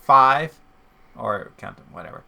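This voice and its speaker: Young male podcast co-host, mid-pitched, lots of vocal fry, sharp edge, easygoing conversational delivery. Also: animated, measured pace. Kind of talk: casual banter.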